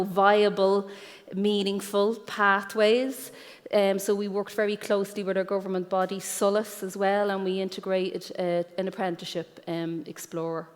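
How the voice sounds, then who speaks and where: An Irish woman giving an explanatory conference presentation as a guest speaker, pausing often with ums. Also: mid-range voice, darkish tone. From Ireland. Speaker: guest speaker, careers guidance professional. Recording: echoey hall with long reverb.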